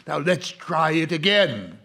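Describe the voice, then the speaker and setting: A man's voice: a little high, sing-song, takes it slowly, noisy background. A man hosting a live horror radio show in a florid, hammy, macabre manner. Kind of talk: theatrical horror-host introduction for live old-time radio.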